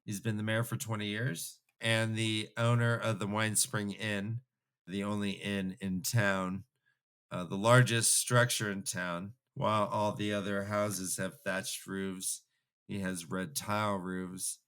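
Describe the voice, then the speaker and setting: A man's voice: on the low side, little pitch movement, unhurried, fairly bright tone, clean tone. A man co-hosting a comic book discussion podcast, speaking emphatically in a mock-scholarly way and repeating himself for effect. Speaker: podcast co-host.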